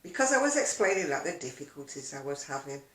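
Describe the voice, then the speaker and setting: A woman's voice: low-pitched, very animated, fast. An older woman chatting casually to camera as a video blogger, rambling along with self-deprecating asides in an echoey room. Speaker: video blogger and craft seller.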